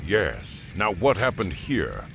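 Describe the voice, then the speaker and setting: An actor playing a gruff, blunt soldier in a video game cutscene. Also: male voice, sits low, huge pitch swings, slow, background noise, exaggerated voice. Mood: exasperated.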